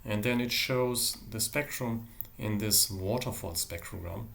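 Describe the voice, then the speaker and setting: A man giving a university lecture with a software demonstration, explaining in a measured, halting way. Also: lowish voice, gravelly.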